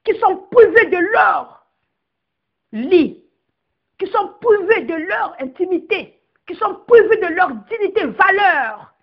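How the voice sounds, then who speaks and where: A woman's voice: very high-pitched, animated, slow pace, highs cut off. A woman from the Republic of the Congo giving an emphatic political address to her compatriots. She declaims and stresses each word of her long lists.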